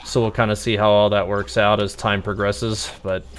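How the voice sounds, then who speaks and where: A man's voice: lowish voice, level tone, normal speed, a little hiss or hum. A man giving casual, rambling live gameplay commentary, thinking aloud as he streams. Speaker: game livestreamer.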